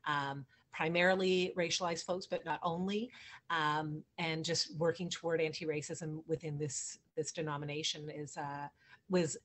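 A woman in her thirties co-hosting an online discussion from a room at home, over a video call with some faint noise. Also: low voice. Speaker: panel co-host. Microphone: video call microphone.

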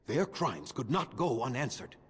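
An actor playing a proud, bitter elven clan keeper in a fantasy game, formal and solemn, with a husky voice. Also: male voice, medium pitch, big pitch swings, normal speed. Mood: anguished anger. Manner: formal, solemn, theatrical phrasing. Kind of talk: dramatic fantasy game acting. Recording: long hall-like reverb.

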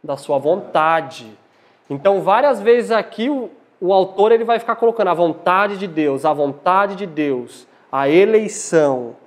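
A young Brazilian man teaching from the Bible in a didactic way, pausing to put questions to his listeners. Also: high voice, expressive. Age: young adult. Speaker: preacher giving a lecture at a church congress. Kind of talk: Bible teaching sermon with scripture reading. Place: small indoor church hall at a lectern. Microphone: lapel.

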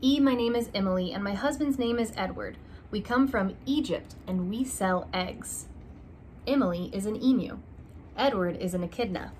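A young woman reads a children's alphabet book aloud in a small room, taking it slow and deliberate. Her voice sits at an ordinary middle pitch, recorded close on a phone or laptop. A faint hum of room ambience runs underneath. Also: a little mellow. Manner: slow, deliberate reading with stressed opening letters. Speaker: reader of a picture book. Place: small room indoors by a curtained window.

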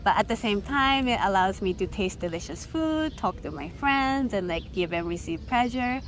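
A woman's voice: mid-range voice, moderate pace. A young woman with a Japanese accent, speaking frankly and casually as a filmmaker guest in an interview, using many fillers. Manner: lively, frank, many fillers like "like" and "you know". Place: festival setting. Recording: noisy ambience behind.

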